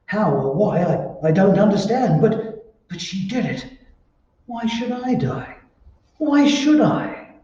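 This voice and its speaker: Henpecked husband, male actor: high, very slow, stammering and fearful. Radio play, heavy echo. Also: expressive, warm tone. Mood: bitter, uneasy. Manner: stammering, hesitant, meek. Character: timid, henpecked, frightened husband. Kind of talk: old-time radio drama acting. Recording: very echoey, muffled, distant room recording.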